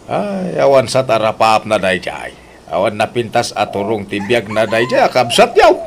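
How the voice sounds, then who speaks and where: A man's voice: lowish voice, huge pitch swings, normal speed, gravelly, background noise, exaggerated voice. A man playing a grumbling, scornful father in a comedic radio drama, exclamatory and complaining, with a Filipino Ilocano accent. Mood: grumbling, mocking.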